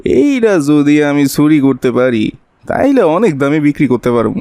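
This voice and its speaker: A man's voice: a little high, very animated, normal speed, mellow, dry recording. A man voicing a sly, greedy, scheming thief in a cartoon, with a colloquial Bangladeshi Bengali accent. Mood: amazed, scheming. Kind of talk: cartoon voice acting.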